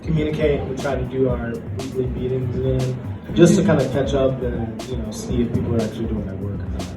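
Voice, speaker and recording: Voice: medium pitch, fairly quick. A convention panelist chatting and telling stories in a playful, informal way. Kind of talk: casual panel storytelling and chat. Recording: echoey panel room, distant microphone, music.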